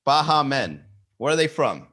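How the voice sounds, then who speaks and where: Male comedy podcast host, bright forceful voice, animated riffing, some swearing. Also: mid-range voice, normal speed. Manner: animated, swearing, rhetorical questions. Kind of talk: comedic riffing and lyric reading.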